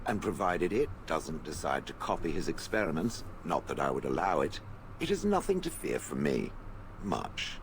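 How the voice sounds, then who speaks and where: Haughty, very deep stone golem. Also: male voice, very animated, slight background noise, exaggerated voice. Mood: imperious. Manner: haughty, sardonic, deliberate phrasing. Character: haughty, sardonic stone golem with contempt for people. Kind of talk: fantasy video game dialogue.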